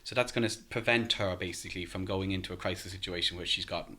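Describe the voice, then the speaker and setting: A man with an Irish accent and a deep, low voice, chatting as a guest on a podcast. He talks casually, stopping often to say um and restart his sentences. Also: fairly even intonation, fast, pushed and bright. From Ireland. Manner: hesitant, many ums and restarts.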